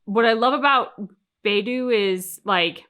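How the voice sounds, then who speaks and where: A woman's voice: a little high, a little slow, pushed and bright. A woman co-hosting a reaction channel, talking through her thoughts casually and emphatically, with plenty of colloquial turns of phrase.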